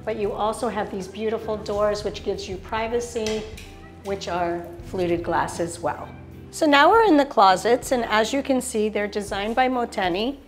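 A middle-aged woman with a mid-range voice, talking through a show apartment in an upbeat sales pitch. She reels off finishes and features with polished enthusiasm. Soft music plays quietly underneath. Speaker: sales director giving a property tour. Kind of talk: polished real estate showcase tour. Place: show apartment kitchen, indoors.

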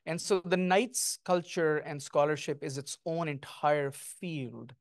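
Middle-aged male author, reflective and poetic, slightly high voice, handheld mic, dry. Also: slow pace, no room sound. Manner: thoughtful, lyrical, with frequent ums. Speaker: guest author. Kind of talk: onstage author conversation. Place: small stage before an audience, indoors.

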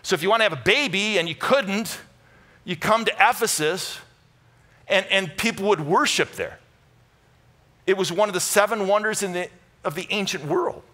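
A middle-aged American man preaching a conversational sermon, emphatic and animated, moving between loud bursts and hushed asides. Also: high-pitched, moderate pace, long pauses. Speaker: pastor preaching. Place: large church auditorium stage with audience.

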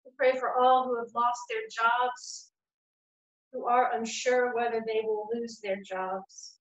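A woman with a slightly high voice leads liturgical prayers in a measured, steady cadence. She is recorded on a webcam in a small room at home. The sound is echoey and a little dull and lo-fi. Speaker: church worship leader.

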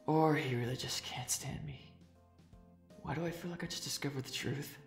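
A man's voice: a little high, normal speed, vocal fry. A man voicing an awkward, well-meaning father with a hesitant manner in a comic fan dub. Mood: puzzled, sheepish. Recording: roomy echo under music.

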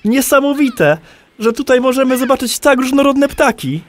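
A man's voice: extremely high pitch, lively intonation, normal speed. An actor playing a curious, slightly skeptical man in a conversational audio drama, asking questions.

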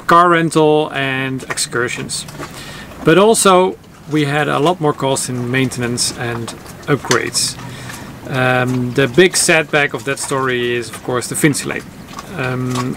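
Dutch-accented man chatting on a boat. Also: medium pitch. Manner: relaxed and conversational with fillers. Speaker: sailing vlogger. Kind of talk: casual talk to camera. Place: sailboat cockpit outdoors in a harbor. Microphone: camera at close range. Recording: outdoor harbor noise.

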